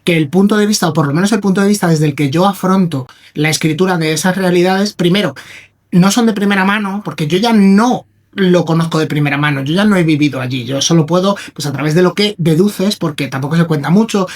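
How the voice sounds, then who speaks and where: A man's voice: high-pitched, fast. A man from Madrid, a writer chatting casually and rambling through his thoughts with many restarts. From Madrid, Spain. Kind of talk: casual conversation.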